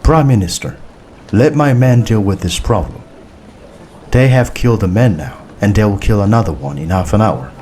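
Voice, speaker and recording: A man voicing a forceful, impatient army colonel in an audiobook, insistent and military in manner. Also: on the low side, lively intonation, normal speed, slight background noise, close to an everyday voice. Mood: urgent, insistent. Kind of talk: audiobook character dialogue.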